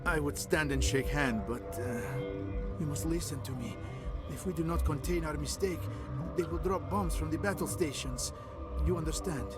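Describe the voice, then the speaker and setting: An actor playing a weary, regretful station director in a video game, with a Slavic accent and halting, grave, non-native phrasing. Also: male voice, a little high, lightly acted. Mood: urgent, weakened. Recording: loud music behind the voice.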